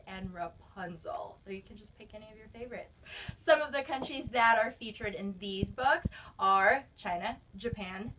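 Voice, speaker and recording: A woman's voice: medium pitch, fairly bright tone, recorded from a distance, a little hiss or hum, poor audio. A young woman recommending fairy tale books in a casual, enthusiastic, chatty way. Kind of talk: casual book recommendation video.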